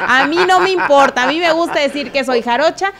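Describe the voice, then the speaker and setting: A young Mexican woman from Veracruz, an expressive, playful guest telling anecdotes in a casual chat. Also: sits high, fairly quick, crowd noise. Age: young adult. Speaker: sports journalist, podcast guest. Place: small indoor room with stone wall. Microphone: handheld microphone.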